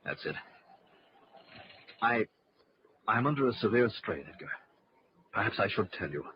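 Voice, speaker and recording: An actor playing a tormented, jealous artist with a halting, overwrought delivery in an old-time radio drama. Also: male voice, fairly low pitch, sing-song. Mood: anguished confiding. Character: tormented, jealous male artist, brooding and short-tempered. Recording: muffled, noisy old radio recording.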